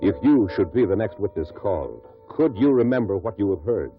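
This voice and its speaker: A man's voice: on the low side, lively intonation, brisk, lightly acted. An American man narrating a 1950s radio drama as its announcer, solemn and portentous in scripted delivery. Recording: dull lo-fi radio recording with music.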